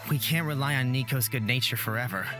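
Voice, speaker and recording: A woman's voice: extremely low pitch, music in the background, pitched below the performer's own voice. A woman voicing an awkward but caring young man in a fantasy game, with a hesitant way of talking. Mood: concerned. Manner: hesitant, halting.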